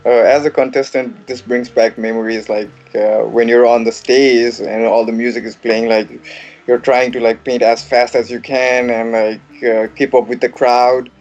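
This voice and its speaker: Excited casual man co-commentating. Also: medium pitch, normal speed, highs cut off, faint music behind. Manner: casual, excited, says "like" often. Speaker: co-host and former contestant. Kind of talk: live online commentary.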